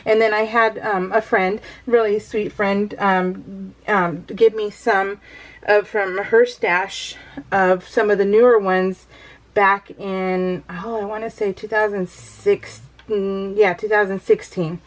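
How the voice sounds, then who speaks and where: A woman's voice: medium pitch, level tone, takes it slowly, poor audio. A middle-aged American woman chatting casually over her craft project as a vlogger, rambling and easily sidetracked, with a bubbly manner.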